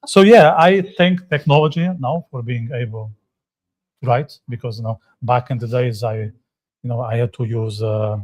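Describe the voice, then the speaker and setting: A man's voice: mid-range voice, moderate pace, darkish tone. Chatty, joking man co-hosting a podcast. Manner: chatty, joking, asks the others questions. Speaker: podcast co-host. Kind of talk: casual panel chat. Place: hotel room, live session.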